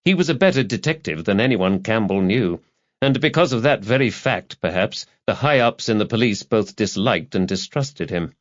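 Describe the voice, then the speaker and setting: A man's voice: fairly low pitch, lively intonation, moderate pace, lo-fi sound. A man narrating a scripted crime novel as an audiobook reader.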